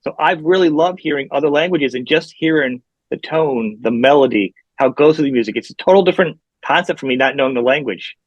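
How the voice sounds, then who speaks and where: A man hosting an interview, talking quickly and enthusiastically in a chatty way. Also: fairly high pitch, no echo. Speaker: podcast host. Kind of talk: interview conversation. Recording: dry, dull lo-fi remote audio.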